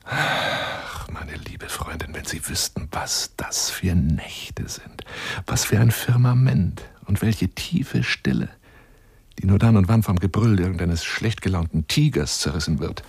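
A man's voice: low-pitched, animated, a little slow, vocal fry, husky, no echo, exaggerated voice. An actor in a radio monologue playing a florid, wheedling lion hunter who courts a woman over the phone in an intimate, theatrical way. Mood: intimate, yearning. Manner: grandiloquent, wheedling, intimate, dropping into whispers.

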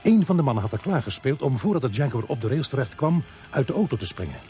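An actor playing a sober, sardonic police inspector who narrates a murder case in a radio play. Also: male voice, mid-range voice, big pitch swings, fast, mellow, faint music behind, lightly acted. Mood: tense recounting.

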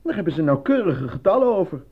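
An actor playing a frustrated, embittered film actor and defensive husband in a theatrical, exasperated radio drama style. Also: male voice, sits high, big pitch swings, fast, husky, full character voice. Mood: irritated, defensive. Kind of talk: Dutch radio drama acting. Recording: muffled old radio play recording.

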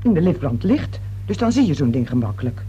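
A woman's voice: sits low, sing-song, brisk, warm tone, breathy edge, lo-fi sound, heavily acted. An actress in a radio play playing a nervous, defensive woman under questioning, hesitant and insistent as she protests. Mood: insistent, uneasy. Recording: radio play recording with hiss and hum.

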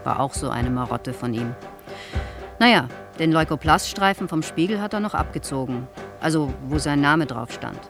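A man in his fifties gives a witness account in a radio drama, with clear guitar music behind him. He sounds reflective and a little wistful, explaining things in a casual, conversational way. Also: a little high, expressive, moderate pace. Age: 50s. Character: wistful 58-year-old male theatre actor giving witness statement.

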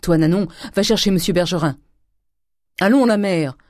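Woman playing a blustering, wheedling old miser, excitable pitch. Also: moderate pace, dry recording, studio quality, natural voice. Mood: urgent appeasing. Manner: exclamatory, wheedling, abrupt short phrases. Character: miserly old father, gruff, cajoling, blustering cooper.

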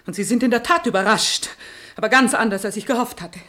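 A woman's voice: fairly high pitch, fast, pushed and bright. An actress playing a proud, wronged noblewoman in a classical radio play, formal, theatrical and reproachful. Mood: wounded reproach.

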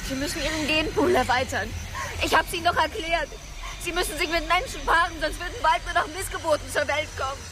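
An actress playing a tense, weary survivor in a radio drama, speaking with urgent intensity. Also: female voice, high-pitched, fairly quick, natural voice. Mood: grave. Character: tense, weary woman survivor in a sci-fi disaster. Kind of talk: German radio drama acting. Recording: very noisy outdoor scene, animals and vehicles.

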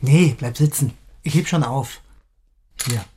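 A man's voice: mid-range voice, takes it slowly, noisy background, natural voice. An actor playing a patient male care worker in a naturalistic radio drama, talking colloquially and coaxingly. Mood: casual, coaxing. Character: patient, casual male care worker trying to get attention.

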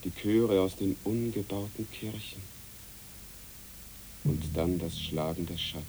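A dreamy soldier tells his memory in a lowish voice, deliberate and poetic, as in a radio play, with audible hiss. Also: a little mellow, poor audio. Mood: dreamy, brooding. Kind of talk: literary radio play acting. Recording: hissy background.

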